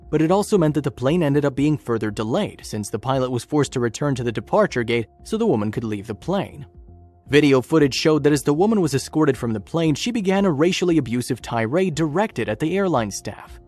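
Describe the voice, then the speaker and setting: A man's voice: mid-range voice, animated, fairly quick, darkish tone. Male story narrator over music. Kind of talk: scripted documentary-style story narration. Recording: music bed under narration. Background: background music.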